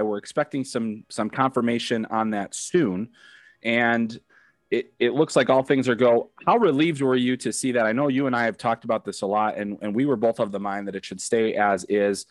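A man hosting a casual podcast interview, his voice a little off from a cold, relaxed and joking. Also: medium pitch, no echo. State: has a cold. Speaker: podcast host.

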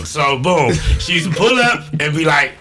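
A man's voice: on the high side, a little slow, faint music behind. A man, telling stories animatedly in casual podcast banter. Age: 30s. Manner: animated storytelling with loud outbursts. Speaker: podcast host.

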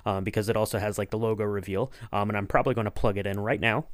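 A man's voice: lowish voice, steady tone, rapid-fire, no room sound, studio quality. A man hosting a casual podcast interview, talking in long, rambling, circling sentences.

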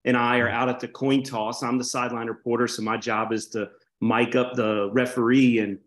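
Man, sports broadcaster, easygoing storyteller, mid pitch, echoey room. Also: flat delivery, normal speed. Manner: easygoing anecdote-teller. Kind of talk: storytelling in casual chat. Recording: roomy echo.

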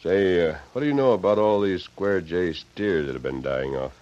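Deep-voiced masked Western radio hero, acted by a man. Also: moderate pace, darkish tone, close to an everyday voice. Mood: calm, assured. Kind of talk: old-time radio drama acting. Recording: muffled vintage radio recording with noise.

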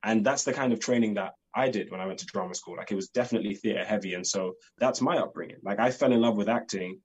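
A man's voice: fairly low pitch, quick pace, breathy edge. A young man with a London accent, chatting eagerly as an actor guest in an interview, leaning on "like" as he talks. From United Kingdom. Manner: chatty, fills talk with "like", eager. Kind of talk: casual interview chat.

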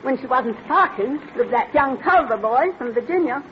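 Pioneer woman looking back on girlhood, plain wistful storytelling, high pitch, muffled old radio recording. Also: animated, moderate pace. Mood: wistful, reminiscing. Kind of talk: old-time radio drama narration. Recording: dull vintage radio recording, faint background noise.

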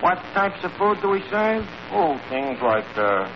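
An actor playing a brash, scheming tavern manager in a 1940s radio comedy, with a New York accent and a bluffing, word-mangling way of talking. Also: male voice, high voice, sing-song, a little slow, heavily acted. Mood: breezy, bluffing. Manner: bluffing, mangles grammar and fancy words. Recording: noisy muffled old radio broadcast, audience behind.

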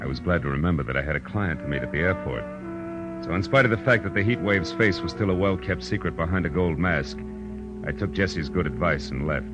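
Deep-voiced hard-boiled detective, wry n sardonic, old radio noir w/ music behind. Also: male voice, animated, fairly quick. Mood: wry, narrating. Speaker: lead actor and narrator. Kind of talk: 1940s radio noir narration.

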